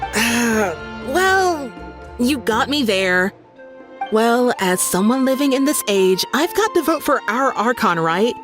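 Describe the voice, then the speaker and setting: A brash young woman snaps back and scoffs with a lively, expressive lilt. Her voice sits a little high. Game music is clearly heard behind her. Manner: snappy, quick to scoff. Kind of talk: game voice acting.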